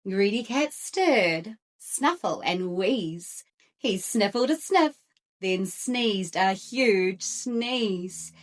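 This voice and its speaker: Slow, animated woman reading a picture book. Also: mid-range voice. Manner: expressive, deliberate rhythmic phrasing. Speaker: storyteller. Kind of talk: children's picture-book read-aloud.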